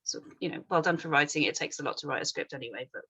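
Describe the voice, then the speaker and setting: A woman on a script panel giving casual, unscripted feedback in a tentative way that softens her criticism. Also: deep voice, expressive, racing, breathy edge.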